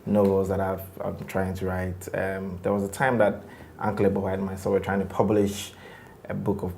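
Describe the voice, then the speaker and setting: A man, a tech company co-founder, talking in a relaxed and reflective way as the guest of an interview, with many fillers. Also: sits low, normal speed, vocal fry, a little hiss or hum. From Ghana. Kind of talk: casual interview conversation.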